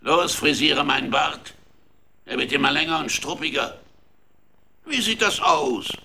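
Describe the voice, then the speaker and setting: A vain, short-tempered monarch from a children's radio play. The voice is high, gravelly and sharply bright. He talks slowly and pompously, bossing everyone around. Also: animated, a little hiss or hum, exaggerated voice. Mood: imperious. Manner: pompous, blustering. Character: vain, bossy, short-tempered bearded king.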